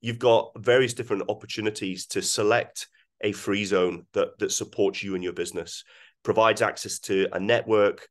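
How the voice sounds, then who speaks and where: Scottish man, very low voice, hesitant, video call webinar presenter. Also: fairly even intonation, moderate pace. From Scotland. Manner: hesitant, many ums and restarts. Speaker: webinar guest speaker.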